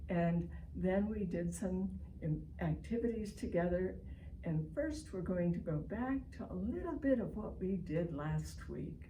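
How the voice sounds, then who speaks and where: An older American woman with a slightly low voice teaches families in an informal online lesson. She explains in measured phrases, leaning on key words. The mic is at a distance and there is clear noise in the recording. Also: dark tone. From Chicago, United States. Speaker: literacy educator leading online family reading sessions.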